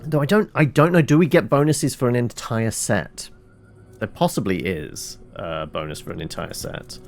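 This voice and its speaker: A man's voice: medium pitch, normal speed, faint music behind. A man doing casual gameplay commentary as a streamer, musing aloud and answering his own questions. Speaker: video game streamer.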